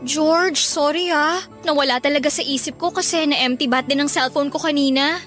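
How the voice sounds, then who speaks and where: A very high, girlish voice plays a young Filipino college woman in a radio drama. She is evasive and easily exasperated, brushing off a suitor. Light music plays behind her. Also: normal speed, a bit bright. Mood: apologetic, evasive. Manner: exasperated, dismissive.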